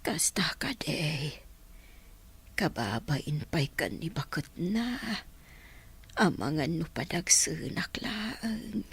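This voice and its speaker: A woman playing a frail, resigned elderly mother in a radio drama, with a breathy, whispery voice and an Ilocano accent. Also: low-pitched, expressive, slow, a bit bright, exaggerated voice. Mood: weak, resigned.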